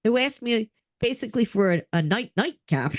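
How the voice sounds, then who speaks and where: A middle-aged woman co-hosting a casual chat with a friend, telling animated stories and laughing often. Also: a little high, moderate pace, no echo, clean studio sound. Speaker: podcast co-host.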